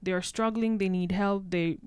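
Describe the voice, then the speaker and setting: A woman's voice: mid-range voice, fairly even intonation, normal speed, darkish tone, clean tone, no echo, clean studio sound. A woman social worker talking casually on a podcast, often tagging her points with "right?". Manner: conversational, leans on "right?" tags.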